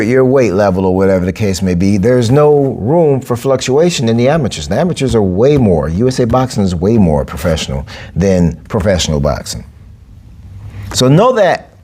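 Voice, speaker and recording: A man, hosting a solo podcast as a boxing and capoeira coach. He talks emphatically, repeating phrases and jumping up in pitch to drive points home. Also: a little mellow. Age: 40s. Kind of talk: casual solo podcast monologue.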